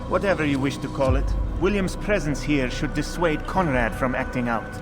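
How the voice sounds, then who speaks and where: A man's voice: mid-range voice, lively intonation, moderate pace, over music, natural voice. A man playing a knowing, dry informant for an assassin order in a video game, articulate and explanatory. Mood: wry, informative. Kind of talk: dramatic video game acting.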